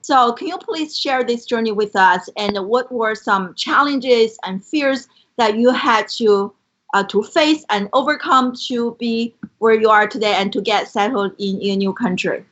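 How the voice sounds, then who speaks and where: A woman's voice: on the high side, a little slow, a bit bright. A woman hosting a live show, effusive and full of praise as she introduces and questions her guest over a video call. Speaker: show host. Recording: slightly dull lo-fi sound.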